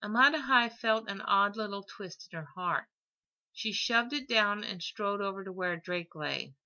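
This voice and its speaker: A woman reading an audiobook story as expressive scripted narration, on a lo-fi recording. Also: mid-range voice, a little slow. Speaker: audiobook reader.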